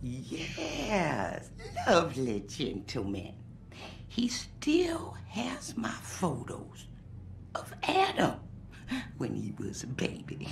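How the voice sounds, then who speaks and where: An actress playing a sweet, confused elderly woman in a video game, gentle and wandering, often slipping toward a whisper. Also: extremely low pitch, wildly swooping pitch, very slow, creaky, exaggerated voice. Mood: fond, wistful.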